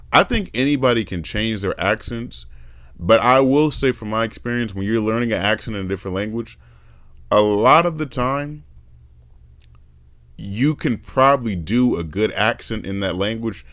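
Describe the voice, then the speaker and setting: A man with a fairly low voice hosts a casual podcast, talking in a rambling, lively way and slipping into playful accent imitations. His pitch swings widely as he gets excited. The sound is dry and close, with no room echo. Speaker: podcast host. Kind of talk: casual chat.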